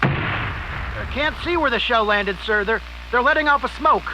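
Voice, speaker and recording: Man acting high-pitched nervous army observer. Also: measured pace, noisy background. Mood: alarmed. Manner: urgent, clipped military reporting. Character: anxious, deferential young army artillery observer. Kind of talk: comedic retro radio drama acting.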